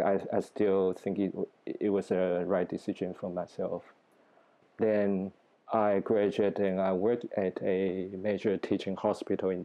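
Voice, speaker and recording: A man from Taiwan speaks English with a Taiwanese accent, his voice low and mellow. He answers in a thoughtful, plain-spoken way, with small grammar slips of a second-language speaker. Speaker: guest researcher. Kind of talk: interview answers.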